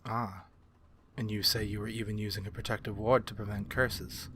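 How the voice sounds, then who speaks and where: A man voicing a courteous, learned vampire in an ASMR fantasy roleplay, speaking formally and with measured, archaic phrasing. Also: lowish voice, little pitch movement, a bit bright, slight background noise, close to an everyday voice. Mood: formal, composed. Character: courteous, learned, human-friendly male vampire curse-breaker.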